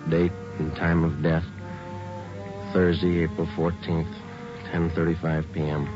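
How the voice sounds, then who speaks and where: Weary American radio cop, very low voice, monotone, clipped, muffled vintage recording with music behind. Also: male voice, measured pace. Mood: flat, official. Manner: clipped, flat, matter-of-fact delivery. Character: weary, deadpan police detective sergeant. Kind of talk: 1940s radio acting.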